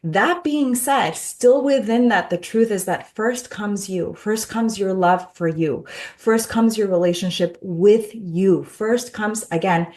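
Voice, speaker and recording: A woman's voice: medium pitch, slow, a little mellow. A woman with a Mexican accent speaking in an interview, emphatic and repetitive, stacking up lists of phrases, sounding a little tired. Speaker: guest, self-love mentor. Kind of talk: heartfelt interview talk.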